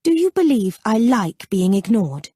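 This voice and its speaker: A woman's voice: mid-range voice, big pitch swings, a little slow, mellow, husky, dry recording, clean studio sound. A woman voicing a proud, anxious young society woman who speaks earnestly, with indignation and some hesitation. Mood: indignant.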